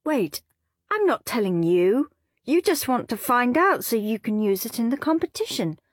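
A prissy, self-satisfied little boy, put on by a woman reading a storybook, with a high, lively voice that leaps up and down. He sounds pleased with himself and a bit whiny. The voice is slightly husky and dry, close to the mic. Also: moderate pace, dry recording. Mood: smug.

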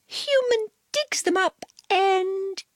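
A woman voicing a haughty, knowing female pet cat from a children's storybook, with a drawn-out, condescending delivery. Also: extremely high pitch, dry recording, voice pushed up.

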